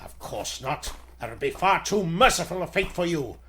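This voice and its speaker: A man voicing a gloating, cruel villain in a theatrical, sneering, drawn-out 1940s radio serial manner. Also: fairly high pitch, lively intonation, a bit bright, voice pushed down. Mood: gloating, menacing.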